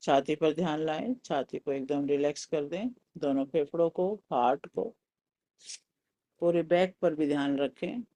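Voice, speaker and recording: Slow-paced Indian man guiding meditation. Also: on the high side, low-quality recording. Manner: calm, soothing, instructing in short phrases with pauses. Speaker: guided meditation teacher. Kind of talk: guided meditation instruction.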